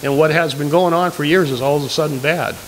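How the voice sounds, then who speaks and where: A man's voice: a little high, fast talker. An older man stands at an audience mic in a community hall and presses a candidate with his question. He is insistent and keeps returning to his point. Faint hiss runs under the recording. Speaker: audience member asking a question. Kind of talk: questioning at a public forum.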